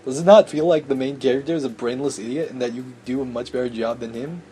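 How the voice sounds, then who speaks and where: A man voicing a bookish, zealous high-school boy in a visual novel, preachy and insistent about anime. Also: fast, dark tone, slight background noise, lightly acted.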